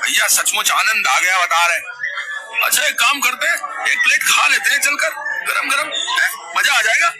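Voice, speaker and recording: A man's voice: very high voice, expressive, moderate pace, poor audio. Man voicing a cheerful hungry customer. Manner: chatty, enthusiastic. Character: cheerful male market customer, eager eater. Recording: music loud behind the voice.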